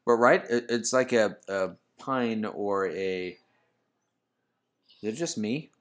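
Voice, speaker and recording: A low-pitched man chats on his podcast in a loose, rambling way. He jokes and laughs often while tasting bourbon with friends. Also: slow pace. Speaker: podcast host. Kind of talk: casual chat over bourbon tasting.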